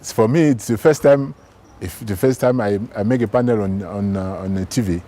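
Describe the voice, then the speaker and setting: A man's voice: lowish voice, normal speed, mellow, slight background noise. A man, a trainee scientist speaking hesitantly in an interview, stumbling and repeating words. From Côte d'Ivoire. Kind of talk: interview testimonial.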